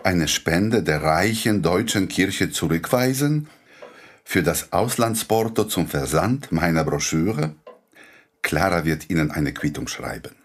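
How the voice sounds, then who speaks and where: Husky, animated idealistic doctor. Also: male voice, low-pitched, a little slow, exaggerated voice. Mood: hopeful, wry. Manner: courteous, earnest, gently ironic. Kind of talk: radio play acting.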